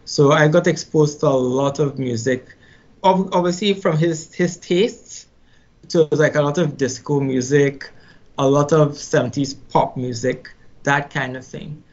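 A middle-aged man from Trinidad and Tobago with a Trinidadian accent, telling his story in a casual interview, unhurried and reflective, often repeating words as he thinks. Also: on the high side, darkish tone. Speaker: musician guest. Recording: slightly dull lo-fi sound.

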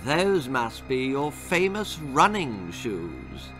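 A sly schoolboy cheat purrs fake charm and mocking lines, as a man reads him. His pitch rises and dips with lively swings, and faint music plays underneath. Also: takes it slowly. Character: sly scheming schoolboy rival, smug and spiteful.

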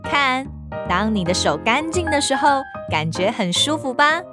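A woman voicing a gentle, playful mother shark in a Taiwanese Mandarin children's cartoon, patient and coaxing. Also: high voice, very animated, measured pace. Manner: sing-song, coaxing, patient. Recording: children's music behind voice.

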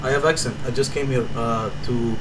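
A man voicing a shy young Egyptian man who speaks halting, broken English with an Egyptian Arabic accent, over noisy game chat. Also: mid-range voice, fairly even intonation, voice pushed down, close to an everyday voice. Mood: polite, timid.

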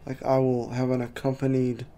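A man doing casual let's play commentary into a desk mic, thinking aloud with a throat that keeps needing clearing. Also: mid-range voice, monotone, normal speed, warm tone. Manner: laid-back, thinking aloud. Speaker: gaming youtuber.

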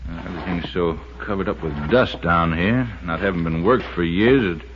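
Low-voiced, folksy American radio handyman played by a man. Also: moderate pace, close to an everyday voice. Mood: calm, wry. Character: Buster, cheerful, folksy, sharp-eyed male resort handyman. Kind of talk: 1950s radio acting. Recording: muffled, noisy 1950s radio recording.

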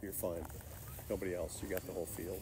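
Relaxed low-voiced male spotter. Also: fast talker, slightly rough. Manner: relaxed, brief reassurances and warnings. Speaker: spotter at the airfield. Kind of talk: casual advice to a pilot. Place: outdoors at an RC airfield.

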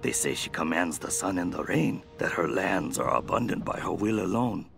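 A grave court envoy for a game journal, speaks in formal, theatrical phrases with a gravelly edge. His wary voice rises and falls widely over background music. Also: deep voice, animated, exaggerated voice. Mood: curious, sceptical. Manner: measured, dramatic, formal. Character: grave, wary male ambassador spying at a foreign court. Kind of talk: acted reading of a journal.

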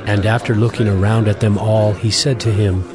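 A man narrating scripture for a dramatized audio Bible in a measured, formal storytelling manner. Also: lowish voice, flat delivery. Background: music.

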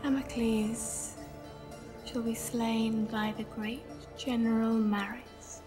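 An actress voicing a tattooed female seer who speaks slow, ominous prophecies in a game cutscene. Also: a little high, little pitch movement, over music. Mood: cryptic. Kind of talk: video game cutscene acting.

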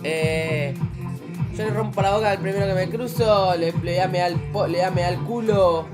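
A man's voice: high voice, fairly even intonation, moderate pace. A young man with an Argentine Rioplatense accent trading crude, boastful banter on a stream in a small room. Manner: brash, boastful slang. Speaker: stream co-host. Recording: music playing loud behind.

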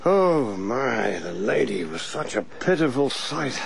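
An actor playing an arch, pompous, scheming English political insider in a radio drama. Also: male voice, medium pitch, sing-song, slow, gravelly, slightly rough, heavily acted. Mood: arch, sly. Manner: pompous, sly, theatrical.

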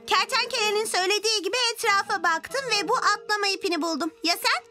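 A woman voicing a confident, self-assured six-year-old superhero girl in an animated children's cartoon. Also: very high voice, quick pace, music in the background. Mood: focused.

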